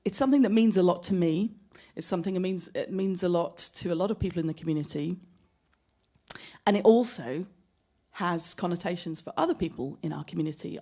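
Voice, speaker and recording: A woman speaking as a panelist at a live discussion, thoughtful and personal, measured in how she talks. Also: fairly low pitch, warm tone. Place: gallery event space.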